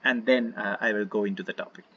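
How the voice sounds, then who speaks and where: Man, Indian accent, gentle meditation teacher, husky tone, muffled voice-chat sound. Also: on the low side, fast talker. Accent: Indian English. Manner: relaxed, gentle, many fillers, invites listeners to join in. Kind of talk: casual online session talk.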